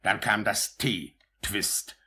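An actor playing a pompous, officious and easily outraged parish official in a 1950s German radio drama. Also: male voice, fairly low pitch, slow pace, sharp tone, exaggerated voice. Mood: self-important. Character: pompous, self-important male parish official in old England.

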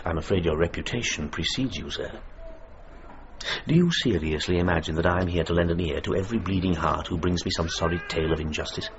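A male actor plays a pompous English army general in a radio play. His voice is deep, clipped and impatient, with an upper-class accent. The recording is muffled, with clear noise. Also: brisk, natural voice. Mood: indignant. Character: gruff, imperious British Army general, impatient and sardonic. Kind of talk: radio drama acting.

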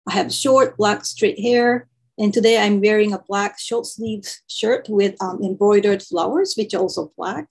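A woman's voice: mid-range voice, creaky, slightly rough, rough recording. A Japanese woman who speaks English as a second language, carefully explaining the setup of an online workshop she facilitates over a video call.

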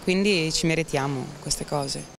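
A woman's voice: sits low, a little slow, vocal fry, music in the background. A woman in her thirties giving sarcastic, outspoken opinions to a street interviewer, outdoors into a handheld mic. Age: 30s. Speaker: passerby interviewed. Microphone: handheld microphone.

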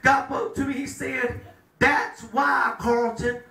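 A man's voice: extremely high pitch, little pitch movement, takes it slowly, gravelly. Male pastor, middle-aged, fiery sermon style; recorded from far off in an echoey room. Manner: preaching with rising shouts and call-and-response. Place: small chapel room with a pulpit. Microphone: handheld mic, filmed by a far camera.

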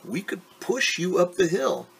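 A man's voice: sits high, sing-song, a little slow, vocal fry, a bit bright, breathy edge, pitched above the performer's own voice, full character voice, poor audio. A man voicing helpful young girls in a storybook, practically urging their companion along. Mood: helpful offering. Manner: practical, urging, offering help. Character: helpful young girl friends coaxing a vain companion.

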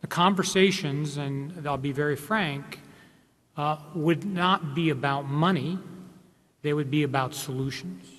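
A man in his forties speaks at a conference panel table into a table mic, his voice set a little higher than most men's. He explains earnestly, restarting phrases as he goes. A long hall reverb trails behind his words. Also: measured pace, a bit bright. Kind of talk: panel discussion remarks. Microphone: table microphone.

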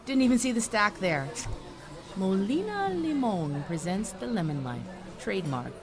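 Woman academic, acted, audio drama: earnest and caring, lively melodic delivery, mid-range voice. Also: mid-range voice, normal speed, music in the background. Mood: earnest, concerned. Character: passionate, caring female astrophysics professor. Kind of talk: naturalistic audio drama acting.

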